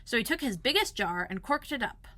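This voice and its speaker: A woman narrating a children's storybook aloud in an expressive style, like a school librarian. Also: a little high, a little fast, no room sound.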